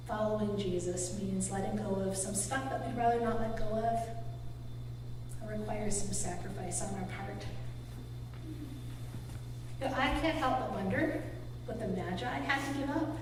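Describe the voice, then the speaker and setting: A woman's voice: medium pitch, background noise. A woman pastor giving a sermon in a church, preaching in a conversational way with casual asides. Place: church sanctuary. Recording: echoey church hall from far off, humming.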